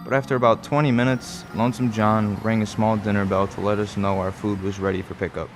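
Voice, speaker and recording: A middle-aged man playing a wry, measured father who narrates a strange roadside tale in a radio play. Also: lowish voice, little pitch movement, lightly acted. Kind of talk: scripted first-person radio play narration. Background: music.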